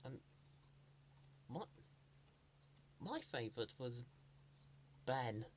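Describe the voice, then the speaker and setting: An earnest man talks at a middle pitch, stopping and restarting his sentences often and repeating words as he searches for them. His tone is fond and sincere throughout. A faint hiss sits quietly behind his voice. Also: expressive, extremely slow. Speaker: fan sharing memories. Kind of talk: casual spoken reminiscence.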